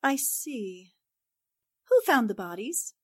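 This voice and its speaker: A woman's voice: on the high side, very animated, takes it slowly, husky, exaggerated voice. An actress playing a shrewd, composed newspaperwoman in 1940s radio drama style, probing and decisive. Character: inquisitive, self-assured female newspaper reporter, shrewd and composed.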